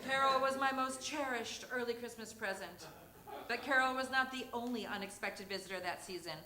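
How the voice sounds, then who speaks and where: A woman reading a comic personal story live on stage, theatrically, with deliberate pauses. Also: on the high side, a crowd in the background. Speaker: reader of a humorous memoir story. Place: theatre stage before an audience. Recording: roomy, noisy live stage recording.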